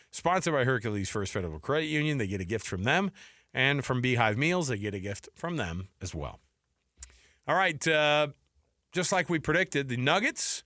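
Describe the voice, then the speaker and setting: A middle-aged man hosts sports talk radio in a bright, very animated voice. He banters casually and repeats phrases for emphasis. The sound is dry and close. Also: medium pitch, no echo. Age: 40s or older. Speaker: sports radio show host.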